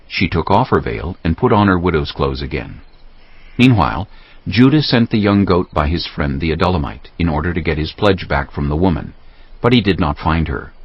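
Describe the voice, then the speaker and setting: A man narrating a dramatized scripture text in a measured, solemn storytelling style. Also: low-pitched, husky, no echo. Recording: dull lo-fi audio, faint music behind.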